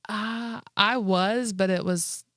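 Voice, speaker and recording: A woman's voice: medium pitch, steady tone, slow pace, fairly bright tone, no echo, clean studio sound. A woman in her thirties co-hosting a podcast in a casual comedic chat, speaking candidly with lots of slang and frequent filler "like". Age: 30s. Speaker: podcast co-host.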